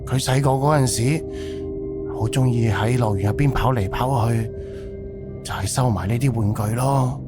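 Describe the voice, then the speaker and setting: A man's voice: medium pitch, normal speed, lightly acted. A man acting a grieving, hospitable middle-aged amusement park director in a Cantonese audio drama. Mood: wistful. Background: background music.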